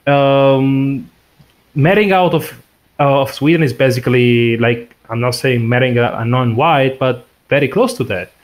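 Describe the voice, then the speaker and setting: A man co-hosting a podcast, talking in halting phrases and pausing often as he thinks aloud. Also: medium pitch. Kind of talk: casual podcast discussion.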